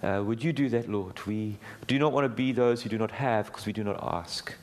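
A middle-aged man preaching a sermon in a church, with a booming voice and an earnest, conversational delivery full of small restarts. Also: normal speed. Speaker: guest preacher. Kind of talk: sermon with prayer. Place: church hall.

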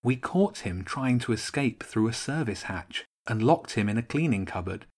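A man's voice: mid-range voice, lively intonation, normal speed, husky. A man voicing a plucky, scheming young girl in a storybook in a matter-of-fact way. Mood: bold, matter-of-fact.

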